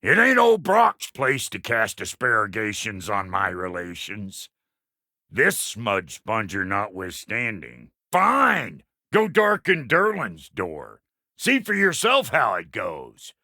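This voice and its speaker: Video game dwarf blacksmith: crude, cranky and sly, creaky and piercingly bright, folksy insults. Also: male voice, lowish voice, huge pitch swings, slow pace, no room sound, studio quality, exaggerated voice. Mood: cranky, sarcastic. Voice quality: gravelly, creaky, sharp. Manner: folksy, blunt, drawling slang and colorful insults. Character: crude, cantankerous, foul-mouthed dwarf blacksmith with a sly streak. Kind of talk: video game character acting.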